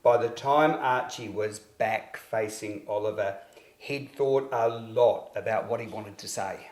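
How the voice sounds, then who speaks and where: Man narrating a children's story, echoing room. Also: fairly low pitch. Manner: expressive, deliberate phrasing. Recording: roomy, echoing sound.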